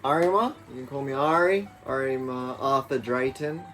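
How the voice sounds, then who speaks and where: A man's voice: mid-range voice, normal speed, fairly bright tone, faint music behind. Man, late 20s, Australian accent, playful teasing sibling, mid voice. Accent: Australian English. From Japan. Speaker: eldest brother. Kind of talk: casual family chat.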